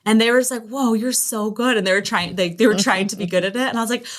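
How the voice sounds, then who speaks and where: A woman's voice: on the high side, fairly even intonation, fast talker. A woman telling personal stories casually and animatedly as a podcast guest. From Los Angeles area, United States. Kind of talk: casual conversational storytelling.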